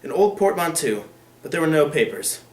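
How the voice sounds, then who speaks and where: Casual: guy doing a cool old lawyer, slow and steady, a bit high, echoey room. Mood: measured. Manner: measured, undisturbed calm. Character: calm, secretive older male lawyer.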